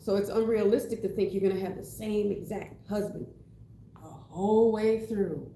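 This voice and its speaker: A woman in her forties co-hosting a casual discussion, frank and quick with teasing quips. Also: mid-range voice, fairly even intonation, gravelly, dark tone. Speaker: co-host. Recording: echoey room with faint noise.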